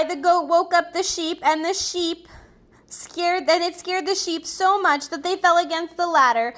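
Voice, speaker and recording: High-pitched woman reading slowly to children. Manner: slow, deliberate, expressive, pausing over words for young listeners. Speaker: preschool teacher reading aloud. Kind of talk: animated children's read-aloud with asides.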